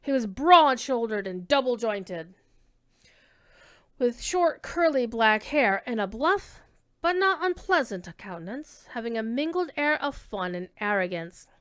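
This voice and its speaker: A woman giving an expressive dramatic reading of classic literary narration, with emphasis on key words. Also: fairly high pitch, a little slow, clear, resonant tone, no room sound, studio quality.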